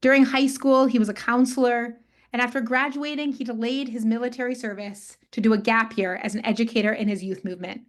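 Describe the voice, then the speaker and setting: A woman hosting a webinar on a video call, reading a measured, prepared introduction. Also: on the high side, steady tone. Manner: measured, reads from prepared text. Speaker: webinar host.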